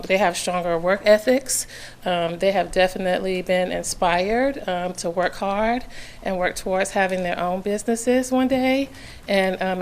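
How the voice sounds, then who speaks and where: A hoarse woman giving earnest public comment at a county meeting, with many "um" fillers, at a podium microphone in a meeting room. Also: lowish voice.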